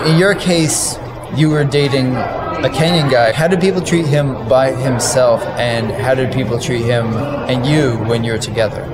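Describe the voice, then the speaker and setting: A man speaking casually as a video host and interviewer. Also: mid-range voice. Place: busy subway station. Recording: loud ambient subway station noise.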